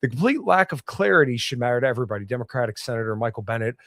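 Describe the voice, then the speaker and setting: A man hosting a political podcast on his own, slightly hoarse as he gets over an illness. He reads news items and cuts in with blunt opinions. His voice sits at an average pitch, recorded dry and close with no room sound. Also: racing, a bit bright, no echo. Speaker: podcast host. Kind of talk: solo political commentary livestream.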